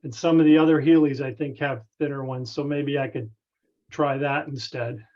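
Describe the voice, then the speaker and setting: A man on a conference call casually explaining a technical problem in a halting, tentative way. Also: medium pitch, fairly even intonation. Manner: halting, tentative, circles back. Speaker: club member asking a technical question. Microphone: conference call connection. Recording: dull, band-limited conference call audio.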